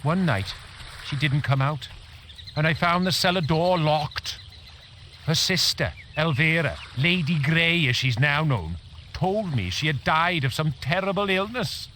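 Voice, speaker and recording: An actor playing an earnest, sorrowful, lovelorn commoner in a melodramatic fantasy game. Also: male voice, fairly high pitch, animated, a little slow, sharp tone, a little hiss or hum. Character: wistful, grieving lovelorn commoner man, gentle and earnest.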